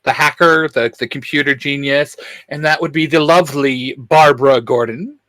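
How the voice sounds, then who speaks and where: A man's voice: medium pitch, steady tone, moderate pace, sharp tone, dry recording. A man co-hosting a geeky discussion show, talking casually as a fan. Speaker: co-host of a geek-culture discussion show. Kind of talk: casual fan chat about comics.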